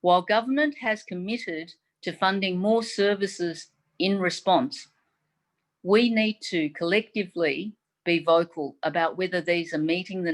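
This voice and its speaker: A woman from Taiwan whose first language is Mandarin, a chief medical advisor giving a prepared forum speech in a measured, formal way with frequent "um"s, heard over a video call. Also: lowish voice. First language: Mandarin Chinese.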